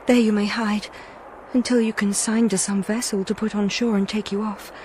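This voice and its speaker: A woman's voice: mid-range voice, flat delivery, moderate pace, a little mellow, a little hiss or hum, lightly acted, rough recording. An actress playing a devoted young noblewoman in a gothic audio drama, speaking formally with theatrical urgency. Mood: anxious, tender. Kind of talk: period audio drama acting.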